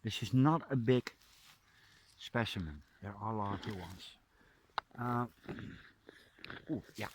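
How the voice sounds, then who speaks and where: Dutch man, low-pitched, unhurried, soft outdoor vlog narration. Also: background noise. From Netherlands. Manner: soft-spoken, drops to a hushed near-whisper up close. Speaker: nature vlogger. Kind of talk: casual narration while foraging. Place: forest, outdoors.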